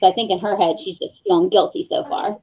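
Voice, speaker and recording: A woman's voice: mid-range voice, sing-song, fast talker, creaky, darkish tone, slight background noise. A woman chatting casually as a book club member over a group video call. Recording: slightly dull, lo-fi video call audio.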